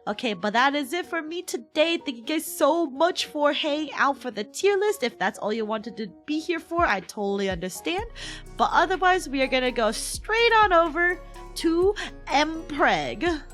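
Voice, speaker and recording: A woman's voice: high voice, big pitch swings, normal speed, a bit bright, soft background music. A woman livestreamer chatting casually and playfully with her viewers in a giggly, bantering way. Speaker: VTuber livestreamer.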